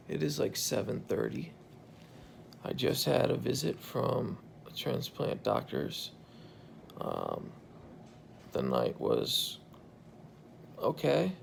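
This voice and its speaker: Hoarse, gravelly man in a hospital bed. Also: huge pitch swings, slow pace, pauses often, a little hiss or hum. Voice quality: gravelly, croaky. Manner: tired, low-key, relieved. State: hoarse after intubation. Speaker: patient recording a video diary. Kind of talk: casual video journal. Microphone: phone held at arm's length.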